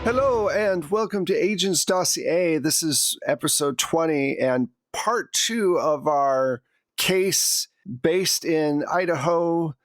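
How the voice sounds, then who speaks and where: A man's voice: on the high side, slow, no echo, faint music behind. A man narrating a tabletop roleplaying game as game master, telling the story in a conversational way.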